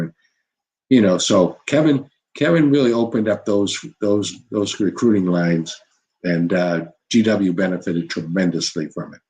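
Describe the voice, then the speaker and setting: Deep-voiced older man recounting his career. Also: darkish tone. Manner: unhurried, with frequent "you know" and restarts. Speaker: former college basketball head coach, guest. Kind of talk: reflective interview storytelling.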